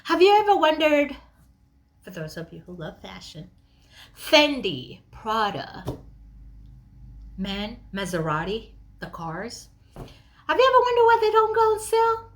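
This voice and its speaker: A woman's voice: on the high side, wildly swooping pitch, a little slow, pauses often, slight background noise. A woman speaks as a motivational coach. She addresses the listener directly and conversationally. She leans on key words and poses rhetorical questions to drive her message. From Kenya.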